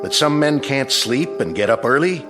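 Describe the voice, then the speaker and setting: An actor playing a weary, stern small-town sheriff in a radio drama. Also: male voice, mid-range voice, animated, moderate pace, over music, natural voice. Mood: irritated. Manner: stern, grave.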